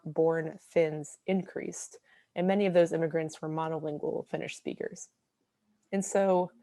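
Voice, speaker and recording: Young woman researcher, lowish mellow voice, careful explanatory slide talk, dry sound. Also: dry recording. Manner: explanatory, careful, with frequent ums. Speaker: graduate researcher presenting.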